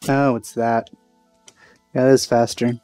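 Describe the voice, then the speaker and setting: A man's voice: medium pitch, little pitch movement, a little slow, darkish tone, smooth, no echo, faint music behind. A man giving casual let's play commentary, offhand and musing with a wry streak. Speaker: gamer doing a let's play.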